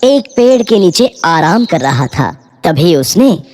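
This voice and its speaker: A woman's voice: lowish voice, big pitch swings, slow, darkish tone, a little hiss or hum. A woman narrating a scripted moral story for children as a storyteller. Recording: music behind the voice.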